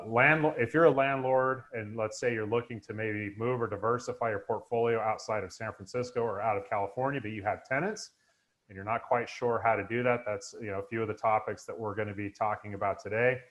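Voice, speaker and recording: A man's voice: mid-range voice, steady tone, brisk. Upbeat man hosting a webinar. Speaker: host. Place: home room indoors, video call.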